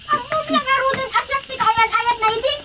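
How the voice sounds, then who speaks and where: A woman playing a shrill, boastful village woman in a quarrel, in an Ilocano radio drama comedy. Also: a little fast. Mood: boastful taunting. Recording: loud noise behind the voice.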